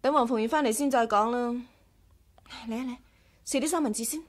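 Woman acting as high-voiced, sensible adventurer's wife. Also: brisk, lightly acted. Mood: caring. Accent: Hong Kong Cantonese. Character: sensible, quick-witted adventurer's wife, caring and direct. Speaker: radio drama actress. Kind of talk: 1980s radio drama acting.

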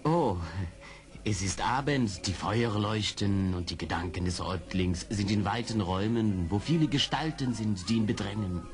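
An actor playing an earnest, determined army major in an audio drama, polite and articulate. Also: male voice, fairly low pitch, moderate pace, lightly acted. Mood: courteous. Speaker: actor in a radio play. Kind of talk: 1970s German audio drama acting.

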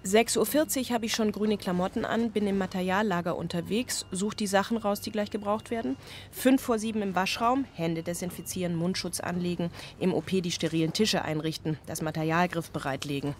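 A woman's voice: fairly low pitch, fairly quick, slight background noise, lightly acted. An actress playing a stressed, driven single mother in a radio drama, speaking in clipped, drilled phrases. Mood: matter-of-fact, tense. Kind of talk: radio drama monologue and dialogue.

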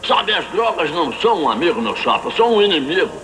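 A man voicing a brash, wisecracking cartoon mentor, emphatic, joking and preachy, in a dubbed animated film. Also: high voice, fast talker, background noise. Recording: old cartoon soundtrack with music.

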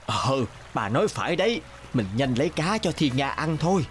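An actor playing a kindly, joyful old fisherman in a cartoon fairy tale, gentle and eager. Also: on the high side, very animated, moderate pace, faint music behind.

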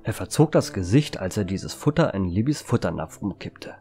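A man's voice: on the low side, animated, moderate pace, warm tone, faint music behind. A man narrating a scripted story as its storyteller. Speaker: narrator and author.

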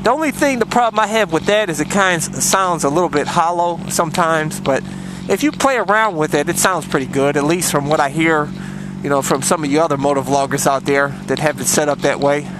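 A man's voice: on the high side, brisk. A man vlogging from his motorcycle on country roads, talking bluntly and rambling with plenty of cussing, picked up by a helmet mic. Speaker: motovlogger. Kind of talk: casual riding commentary. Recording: loud wind and road noise from a helmet mic. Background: loud wind and engine noise.

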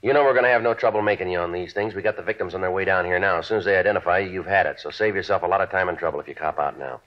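An actor playing a clipped, businesslike American police detective in a 1950s radio drama, deadpan and terse. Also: male voice, low voice, racing, natural voice. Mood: terse, pressing. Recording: dull vintage radio recording.

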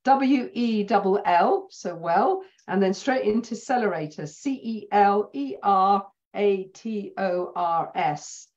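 A middle-aged woman hosting an interview on a video call, chatty and quick to laugh. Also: medium pitch. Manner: warm, chatty, laughs easily. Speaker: host. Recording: slightly dull video-call audio.

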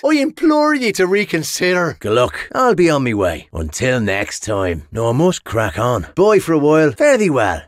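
An actor playing a cocky, wry medieval sellsword with an Irish accent and colourful, theatrical delivery in a video game. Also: male voice, high voice, gravelly, bright, forceful tone, no echo, clean studio sound, full character voice. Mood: pleading, bargaining, then casual farewell. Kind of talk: video game battle barks and voice lines.

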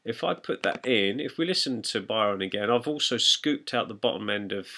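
A man with a crisp, bright voice sits a little low in pitch as he talks through a music software tutorial. His delivery is conversational and explanatory, like an informal lesson recorded as a voice-over. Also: animated, brisk.

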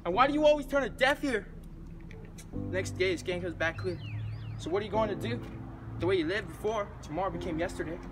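A young man performs spoken word poetry in a high-pitched voice. His delivery is rhythmic and earnest, leaning hard on the rhymes. Music plays underneath him. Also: expressive, fairly quick, pauses often. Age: 20s. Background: music bed.

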